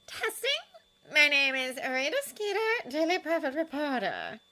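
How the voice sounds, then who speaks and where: A woman's voice: high voice, big pitch swings, a little slow, pushed and bright, voice pushed up, heavily acted. A woman voicing a sly, pushy middle-aged female reporter with a syrupy manner.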